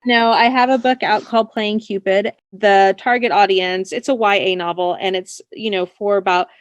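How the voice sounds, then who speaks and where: A woman's voice: mid-range voice, fairly even intonation, moderate pace, no echo, lo-fi sound. Woman author chatting in a dry recording. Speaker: interview guest, author. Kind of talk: casual interview talk.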